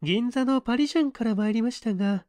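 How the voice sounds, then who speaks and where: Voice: medium pitch, fast talker, a little mellow, dry recording, pitched above the performer's own voice, lightly acted. A narrator voicing a haughty middle-aged woman dressmaker who speaks in an affected, fussy, polite way. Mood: huffy, haughty.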